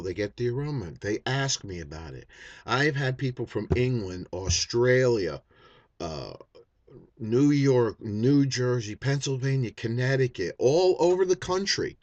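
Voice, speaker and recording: A man's voice: medium pitch, a little mellow, dry recording, highs cut off. Blunt, swearing man in his fifties. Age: 50s. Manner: blunt and profane, with many restarts and fillers. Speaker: vaping YouTuber. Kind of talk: unscripted vlog rant.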